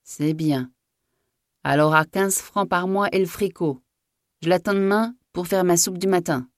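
A woman voicing an old male farm master in a storybook reading, with blunt, bossy, rustic speech and a quick temper. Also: lowish voice, no room sound, clean studio sound, voice pushed up, natural voice. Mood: brusque. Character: old, jovial, hot-tempered male farm master who bellows orders.

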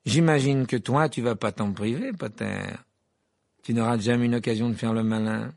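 A man voicing a calm teenage wizard boy with a cool, dry delivery. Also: mid-range voice, normal speed, gravelly, no echo, lightly acted.

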